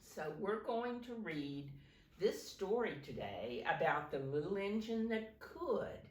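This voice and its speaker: A woman reading a picture book aloud to young children like a teacher, in simple deliberate phrases, pausing to point things out. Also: mid-range voice, big pitch swings. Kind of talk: animated children's storybook read-aloud. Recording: roomy echo, distant microphone.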